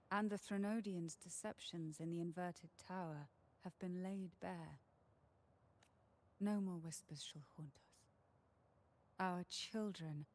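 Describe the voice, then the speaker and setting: A woman's voice: on the low side, a little mellow. A woman voicing a composed, enigmatic fantasy warrior in a video game, with formal, theatrical phrasing over music. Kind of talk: video game character acting.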